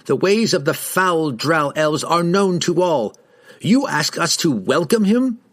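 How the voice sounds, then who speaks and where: Man as a haughty, hostile mounted guardsman, lively. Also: mid-range voice, unhurried, pitched above the performer's own voice, close to an everyday voice. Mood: scornful. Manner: pompous, sneering, archaic formal speech. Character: haughty, hostile male town rider, leader of patrol. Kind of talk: fantasy audiobook character voice.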